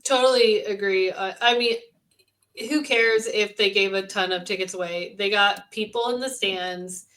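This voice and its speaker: A woman on a fan podcast chats casually and readily agrees with the others. Her voice is bright and sits at a mid pitch for a woman. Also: normal speed. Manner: agreeable, frequent short affirmations. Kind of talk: casual chat.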